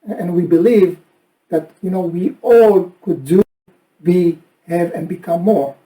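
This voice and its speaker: A man's voice: high-pitched, slow, dark tone, distant mic, rough recording. A man with a heavy Israeli accent co-presenting a training session, who often repeats words and restarts his sentences. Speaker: co-host and trainer. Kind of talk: presentation chat.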